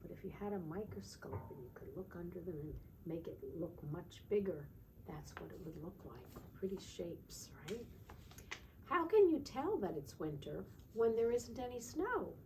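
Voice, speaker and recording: A woman teacher reading aloud to young children in a sing-song way, pausing to ask simple check-in questions. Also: fairly low pitch, sing-song, darkish tone, slight background noise, rough recording. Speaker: children's teacher and storytime reader.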